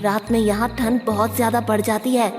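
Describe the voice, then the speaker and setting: A menacing cartoon cave ghost, played by a woman, with a high voice swimming in long reverb. It is a sly skeleton spirit from a children's story, with music playing underneath. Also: flat delivery, moderate pace, close to an everyday voice. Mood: falsely polite. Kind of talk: Hindi cartoon story dialogue.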